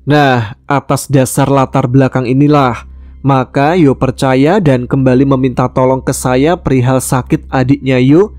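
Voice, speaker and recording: A man from West Kalimantan, Indonesia, telling a true-life ghost story in a calm, measured way, voicing the dialogue plainly. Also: mid-range voice, no room sound. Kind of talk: first-person ghost story narration.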